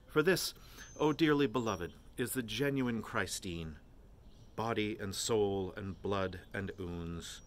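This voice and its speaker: A man's voice: fairly low pitch, a little hiss or hum. A man voicing a plump, mocking young man who declaims grandly and teases in a jovial, theatrical way. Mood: mock-solemn, brisk. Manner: grandiose mock-preacher declamation, teasing, briskly jovial.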